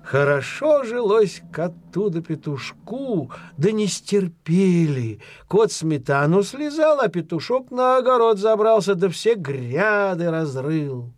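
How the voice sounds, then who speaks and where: A man's voice: high voice, sing-song, slow pace, few pauses, no room sound. A man narrating a children's fairy tale in a folksy, theatrical storytelling style.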